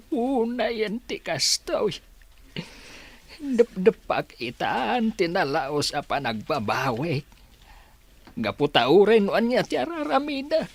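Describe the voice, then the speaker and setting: A man's voice: high voice, breathy edge, full character voice. A man with an Ilocano accent playing a remorseful, plaintive old grandfather in a radio drama. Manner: sorrowful, plaintive, drawn-out delivery. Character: remorseful old grandfather longing for his lost family. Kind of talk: Filipino radio drama acting.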